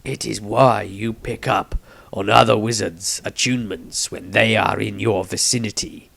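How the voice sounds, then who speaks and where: Man voicing a slow, gravelly old wizard patriarch. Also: lowish voice, fairly even intonation, fairly bright tone, dry recording, exaggerated voice. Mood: explaining gravely. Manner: solemn, deliberate, dramatic pauses. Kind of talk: fantasy audiobook character reading.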